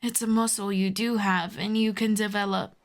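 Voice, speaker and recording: A woman playing a telepathic villain in an intimate roleplay audio drama, cool and commanding but grudgingly tender, with measured, dry, hushed delivery. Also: mid-range voice, fairly even intonation, natural voice. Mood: firm, reassuring.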